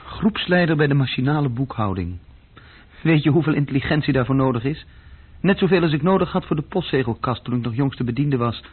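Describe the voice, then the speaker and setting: An actor playing a bored, self-deprecating young male bookkeeping clerk in a radio drama, speaking haltingly. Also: mid-range voice, expressive, breathy edge. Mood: bored, bitter. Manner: halting, searching for words. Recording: muffled old radio recording.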